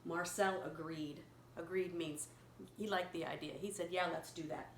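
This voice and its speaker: A woman reading a picture story aloud to a young child, pausing to explain words simply and prompt repetition. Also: fairly low pitch, steady tone. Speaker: children's story reader and teacher. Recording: echoey room with clear background noise.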